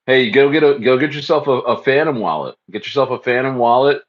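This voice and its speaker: A man's voice: medium pitch, brisk. A man hosts a crypto livestream in easy, rambling chat. He says lines twice and answers the chat as he reads it. His voice sits mid-range and comes through dull, lo-fi stream audio. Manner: loose and repetitive, echoes phrases, reads chat aloud.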